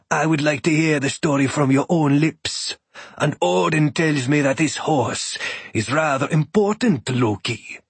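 A man's voice: on the high side, husky, no room sound. A man voicing a polite god of thunder who speaks formally and measuredly. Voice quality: formal, measured speech without contractions. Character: polite god of thunder, bare-chested warrior.

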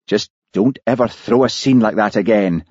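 A man voicing an exasperated but tolerant hotel manager in an audiobook story, firm and brisk. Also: fairly low pitch, darkish tone, slightly rough, no echo, lo-fi sound, voice pushed up. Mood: stern.

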